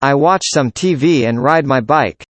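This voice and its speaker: A man reading a student's part in a scripted classroom conversation, with an even, measured delivery. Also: mid-range voice, dry recording. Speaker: student in a dialogue.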